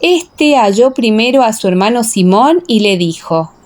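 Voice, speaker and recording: A woman narrating a dramatised scripture audiobook in a solemn, measured way. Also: a little high, lively intonation, darkish tone.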